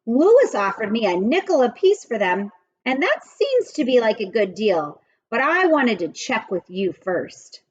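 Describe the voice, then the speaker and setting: A woman's voice: on the high side, sing-song, a little slow, breathy edge, dull audio, exaggerated voice. A woman reading a children's storybook aloud, voicing a stubborn, earnest young schoolgirl who protests emphatically.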